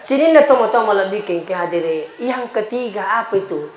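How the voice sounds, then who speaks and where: High-voiced Bugis preacher man, echoey sound. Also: animated, brisk. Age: 40s. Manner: folksy, bantering, repeats phrases to listeners. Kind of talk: humorous sermon mixing two languages. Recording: echoey, dull PA sound with crowd noise. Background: crowd murmur and light noise.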